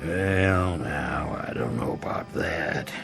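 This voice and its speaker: A man's voice: rumbling low, takes it slowly, gravelly, a bit bright, soft background music, full character voice. An actor in a radio drama playing a weary, skeptical old man with a dry, dismissive manner.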